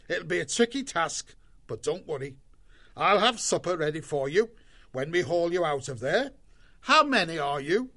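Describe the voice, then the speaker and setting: A man voicing a gruff, bossy male shrew chieftain who speaks in a commanding way. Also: fairly high pitch, bright, forceful tone, voice pushed up, full character voice. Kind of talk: audiobook character voice.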